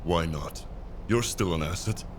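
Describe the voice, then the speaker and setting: A man's voice: low voice, measured pace. A man playing a defiant, sardonic, unwilling task force recruit in an audio drama, questioning, exasperated and profane. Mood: rattled, exasperated. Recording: loud vehicle and ambience noise behind.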